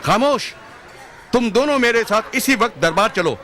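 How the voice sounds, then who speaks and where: A man playing an authoritative official of a royal court in an animated moral story. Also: high-pitched, moderate pace, slight background noise. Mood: commanding. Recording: music behind the voice.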